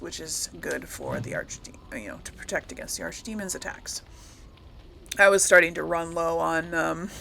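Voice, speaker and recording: A woman with a crisp, bright edge and a creaky fry in her voice hosts a casual gaming playthrough. She thinks aloud in a rambling way, often correcting herself with mild, folksy exclamations. There is faint noise behind her. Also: sits low. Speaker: gaming video host. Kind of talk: casual game commentary.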